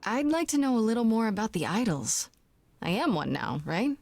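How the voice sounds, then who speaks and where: A woman's voice: fairly high pitch, sing-song, normal speed, vocal fry, darkish tone, no room sound. An actress playing a questioning, uneasy young woman newly made a god in a video game, talking in a plain, casual way. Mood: curious. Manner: inquisitive, plain-spoken, casual.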